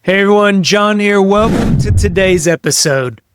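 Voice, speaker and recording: A man's voice: sits high, moderate pace, over music. A man hosting a leadership podcast, giving practical business advice in a conversational, instructive way and leaning on key words for emphasis. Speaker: podcast host, leadership coach. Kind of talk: practical business advice talk to camera or mic.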